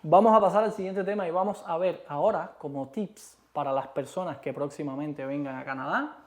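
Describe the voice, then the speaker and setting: A man from Cuba with a Cuban Spanish accent, co-hosting a casual chat in an emphatic, animated way. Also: fairly high pitch, brisk. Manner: emphatic, animated, repeats rhetorical questions. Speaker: co-host.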